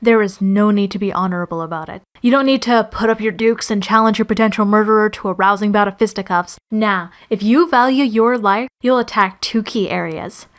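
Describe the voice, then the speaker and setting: A woman voicing a blunt, dramatic male self-defense teacher who instructs emphatically in an animated story. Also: mid-range voice, brisk, few pauses, lightly acted. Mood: emphatic. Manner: emphatic, mock-grandiose, instructing.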